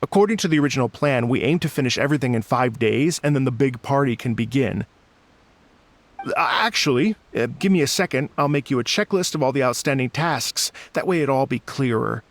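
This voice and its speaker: A man's voice: medium pitch, animated, a little fast, natural voice. A man playing a friendly, earnest festival organizer in a game, polite and slightly hesitant. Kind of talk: animated game dialogue.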